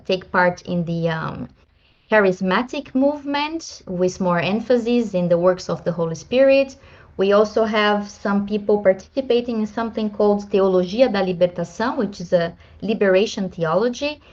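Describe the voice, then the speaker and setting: A woman's voice: mid-range voice, a little mellow. A woman from Brazil, moderating an online panel and putting audience questions to a guest. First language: Portuguese. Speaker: host. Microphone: video call. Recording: slightly dull video call audio.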